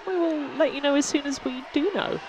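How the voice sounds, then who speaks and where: A woman's voice: sits high, fairly quick, lo-fi sound, background noise. A woman co-commentating live on roller derby, chatty, friendly and self-deprecating. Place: sports venue.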